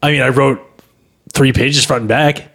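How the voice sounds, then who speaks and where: Male comedy co-host, mid pitch, defensive and insistent, conversational. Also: fairly even intonation, moderate pace, fairly bright tone, breathy edge. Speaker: podcast co-host and amateur screenwriter. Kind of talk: casual comic banter.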